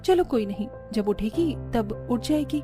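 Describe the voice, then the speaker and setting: A woman voicing a dutiful young elder sister and daughter-in-law, earnest and gently admonishing, in an animated family story. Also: on the high side, unhurried, music in the background. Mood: concerned.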